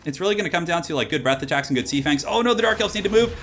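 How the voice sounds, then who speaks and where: Fast-talking, slightly raspy male game caster. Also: on the high side, expressive, a bit bright, a little hiss or hum. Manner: rambling, casual, laughs and exclaims at plays. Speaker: esports caster and channel host. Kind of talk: solo game commentary.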